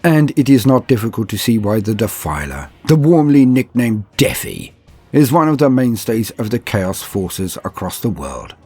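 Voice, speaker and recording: A man's voice: on the low side, sing-song, moderate pace, mellow, husky, faint music behind. A man hosting and narrating scripted fantasy wargame lore in a theatrical, florid, formal manner. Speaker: host and narrator of a tabletop wargame lore channel.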